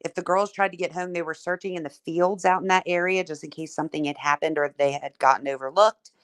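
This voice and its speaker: A woman co-hosting a casual chat, reading a summary aloud in a steady, plain way. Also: on the low side, quick pace, smooth. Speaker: co-host recapping trial notes.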